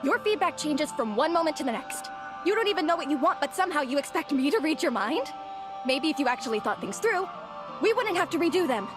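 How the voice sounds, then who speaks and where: An exasperated, overworked young employee in a video game. Her high voice is lively and pointed, with weary emotion, and music plays clearly behind it. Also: brisk. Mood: fed up, indignant. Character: overworked, exasperated young female office worker with buried temper. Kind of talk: dramatic video game voice acting.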